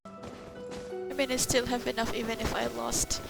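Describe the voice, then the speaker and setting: A woman's voice: fairly high pitch, flat delivery, a little slow, creaky, over loud music. A woman giving casual live reactions as she plays a game, talking to herself and repeating words. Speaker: gamer playing a story quest.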